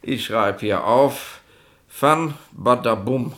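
An actor playing a gruff, officious police inspector in a 1960s radio play, pedantic and sarcastic in colloquial bureaucratic bluster. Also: male voice, medium pitch, slow pace, fairly bright tone. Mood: mocking amusement.

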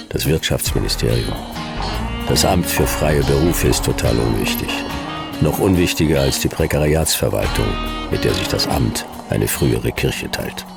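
An actor playing a laconic, world-weary private detective in a radio play, narrating and talking in a dry, clipped, deadpan way. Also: male voice, extremely low pitch, creaky, exaggerated voice.

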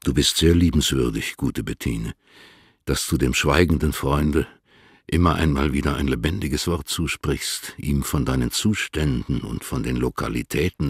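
An actor playing an eminent older poet in a literary radio drama, courteous, composed and formal, with a husky voice and measured delivery. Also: male voice, very deep voice, dry recording, clean studio sound, full character voice.

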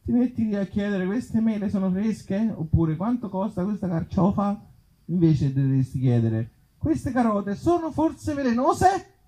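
A man puts on a befuddled storybook reader with a raised, slightly husky voice. The delivery is stubborn and halting, stumbling over words and repeating them, as comic theatre. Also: high voice, very animated, mellow, pitched above the performer's own voice, heavily acted, low-quality recording. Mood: earnest, confused. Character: befuddled, stubborn reader of absurd little fairy tales.

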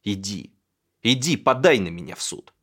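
A man's voice: mid-range voice, sing-song, fairly quick, creaky. Man playing a taunting, defiant professor landlord. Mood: seething. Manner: formal legal phrasing, taunting. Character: defiant professor landlord barely hiding his glee.

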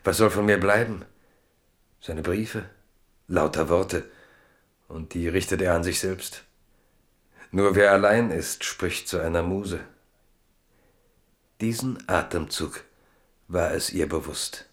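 A man's voice: low voice, slow pace, long pauses. A man narrating a literary radio play from a script as its storyteller.

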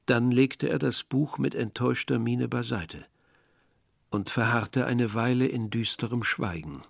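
Villager narrator, reflective, measured, middle-pitched voice, scripted literary radio drama. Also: male voice, vocal fry, no echo.

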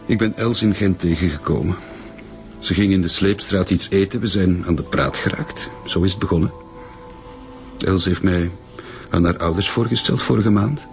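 Very low-voiced, earnest young man. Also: moderate pace, vocal fry, faint music behind. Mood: earnest, defensive. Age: young adult. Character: young bereaved boyfriend, bitter, determined and defensive. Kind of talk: naturalistic radio drama acting.

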